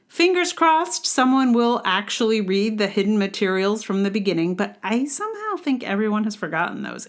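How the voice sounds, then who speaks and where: A woman hosting a podcast alone, chatting casually in a wry, rambling way as if thinking aloud. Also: mid-range voice. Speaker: podcast host. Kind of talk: casual solo podcast talk.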